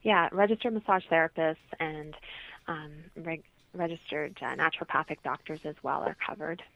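A woman's voice: sits low, normal speed, vocal fry, a bit bright, no echo. A woman explaining things informally as a guest in a radio interview, heard in dull lo-fi audio.

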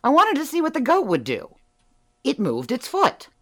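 An actress playing a cheeky, evasive burglary suspect in an audio drama, theatrical and teasing, swinging from meek to emphatic. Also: female voice, high voice, wildly swooping pitch, moderate pace, full character voice. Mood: evasive, testing. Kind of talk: audio drama dialogue acting.

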